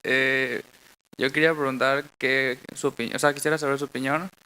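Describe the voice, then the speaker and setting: A young man with a Mexican accent puts a spontaneous question as an audience member. His delivery is extremely monotone and hesitant, with a creaky edge to an otherwise smooth, clear voice, and he keeps rephrasing himself. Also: medium pitch, moderate pace, rough recording. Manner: hesitant, rambling, self-correcting. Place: university auditorium.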